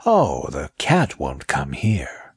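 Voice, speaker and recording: A man voicing a large, sly boa constrictor in a children's story, speaking slowly and with amusement, at times in a whispery tone. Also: very deep voice, huge pitch swings, creaky, breathy edge, no echo, studio quality, pitched below the performer's own voice, heavily acted. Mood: evasive, calm. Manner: slow, drawn-out, sometimes whispery speech. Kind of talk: children's audiobook character.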